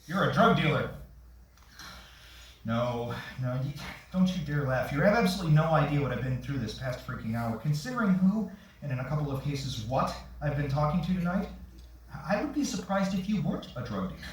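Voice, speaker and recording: A man's voice: fairly high pitch, sing-song, fairly quick. A man on stage playing a frazzled, self-deprecating writer, stammering and awkward, in a staged play reading. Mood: exasperated, sarcastic. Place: theatre stage, recorded from the audience. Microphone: far camera. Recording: very echoey theatre, distant shaky camera.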